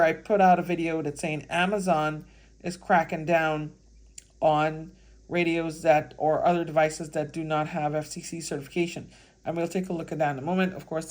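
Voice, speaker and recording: Casual American woman reviewing, halting delivery. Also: sits low. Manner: halting, thinking aloud. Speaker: product reviewer. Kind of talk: casual hands-on gadget review.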